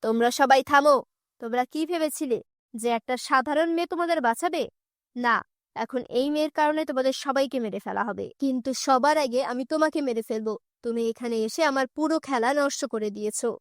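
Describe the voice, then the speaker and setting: A woman's voice: high-pitched, brisk, no echo, studio quality, lightly acted. A woman voicing a greedy, bullying male kidnapper in a cartoon tale, with a threatening, commanding manner. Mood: furious.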